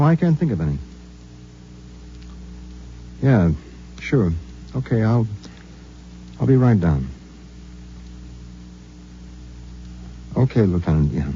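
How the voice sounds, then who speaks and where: An actor playing a bossy, sardonic private detective with a curt, commanding manner in a 1950s radio drama. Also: male voice, medium pitch, warm tone, slight background noise. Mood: businesslike. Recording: muffled old radio recording.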